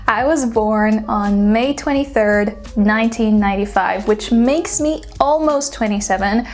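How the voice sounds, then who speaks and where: A woman's voice: medium pitch, unhurried, a little mellow. A woman in her twenties from Poland with a Polish accent, chatting casually to her viewers with frequent "like" fillers. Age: 20s. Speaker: YouTuber. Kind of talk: casual vlog chat.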